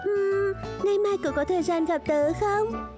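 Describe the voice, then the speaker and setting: A woman voicing a sweet, sensitive young girl, girlish and gently expressive, in a dubbed children's cartoon. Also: very high-pitched, moderate pace, music in the background. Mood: grateful.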